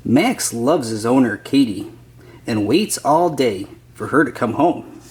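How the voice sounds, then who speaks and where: A man's voice: medium pitch, animated, a little slow, darkish tone. A man reading a children's picture book aloud as a scripted narrator.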